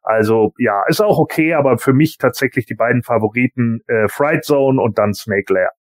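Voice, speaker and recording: A man's voice: mid-range voice, normal speed, dry recording, studio quality. A man giving blunt, informal opinions in casual chat as a podcast panelist. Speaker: podcast panelist on a toy collector show.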